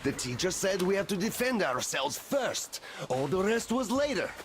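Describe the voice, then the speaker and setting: A high-voiced young pirate from a video game, stubborn and quick to quarrel. His pitch swings widely as he argues scornfully. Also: very animated, slight background noise. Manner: combative, scornful.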